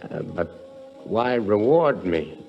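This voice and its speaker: Low-voiced, hard-boiled American adventurer. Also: male voice, lively intonation, slow pace, highs cut off. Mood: wary. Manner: terse, hard-boiled, wary. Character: tough, wary American adventurer man, hard-boiled and suspicious. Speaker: actor and first-person narrator in a radio drama. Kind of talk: 1950s radio drama acting. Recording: vintage 1950s radio drama, music behind.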